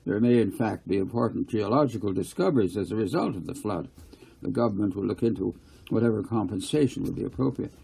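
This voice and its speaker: A man's voice: fairly low pitch, quick pace, gravelly, warm tone, husky, full character voice, poor audio. A man voicing a formal, wordy government minister giving an awkward, placating speech in a storybook reading. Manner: stiff, wordy, placating officialese.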